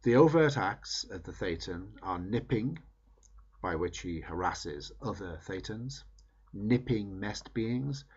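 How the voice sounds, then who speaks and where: A man reading a book aloud in measured, emphatic phrases that trail off at the ends of sentences. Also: lowish voice, lively intonation, darkish tone, slightly rough, lo-fi sound. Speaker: reader.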